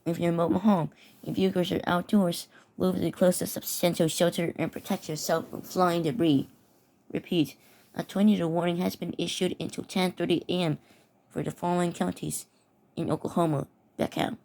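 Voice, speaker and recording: A woman's voice: on the low side, steady tone, fairly quick, vocal fry, darkish tone, poor audio. A woman reading mock severe weather warnings aloud with her own commentary, often stumbling over and repeating words. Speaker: mock emergency alert narrator.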